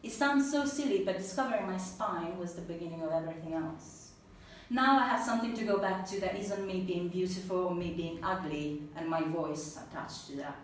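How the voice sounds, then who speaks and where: Italian woman giving a talk, earnest and lively, echoey room, mic kinda far off. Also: on the low side. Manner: earnest, animated, laughs easily. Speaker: speaker at a talk. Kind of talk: personal talk then audience Q&A. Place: event room with an audience. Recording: echoey room, mic at a distance, faint noise.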